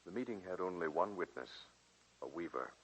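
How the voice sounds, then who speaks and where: Earnest lovestruck professor, real deep voice, lively pitch, old muffled 40s radio drama. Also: male voice, breathy edge, full character voice. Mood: tender, literary. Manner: literary, measured, ardent. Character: earnest, romantic married college professor in love. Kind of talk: 1940s radio acting. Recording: muffled vintage 1940s radio broadcast, music behind.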